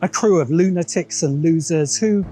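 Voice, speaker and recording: A man's voice: on the high side, moderate pace, mellow. A man delivering a scripted video essay in an opinionated, dryly sardonic way. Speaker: host of a science fiction commentary show. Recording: music behind the voice. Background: background music.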